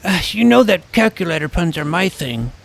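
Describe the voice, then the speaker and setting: A man playing a deadpan self-important nerd hero. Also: high voice, moderate pace, vocal fry, heavily acted. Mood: earnest. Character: nerdy middle-school boy narrator posing as a superhero. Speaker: narrator. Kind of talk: scripted audio drama narration.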